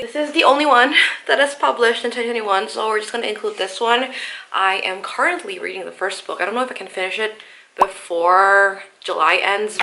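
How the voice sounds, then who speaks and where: A woman's voice: on the low side, sharp tone, a little hiss or hum, low-quality recording. A young woman vlogging about books, nasal and stuffed up from the flu, chatty and explaining each pick step by step. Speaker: book vlogger. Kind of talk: casual vlog talk to camera.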